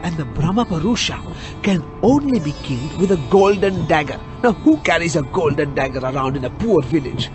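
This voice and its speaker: An actor playing a chatty, boastful immigrant cab driver with an Indian accent, hesitant and earnest in a comic radio drama. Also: male voice, on the high side, lively intonation, brisk, over music, natural voice. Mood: earnest, scoffing. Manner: hesitant yet garrulous, earnest, comically emphatic.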